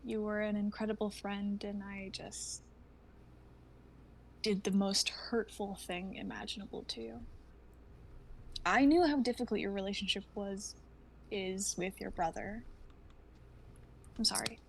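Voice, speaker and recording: A woman's voice: mid-range voice, fairly even intonation, a little slow, vocal fry, pauses often, a little hiss or hum, poor audio. A woman acting a regretful young woman confessing love to an old friend, earnest and hesitant, in a dramatic livestream reading. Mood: apologetic.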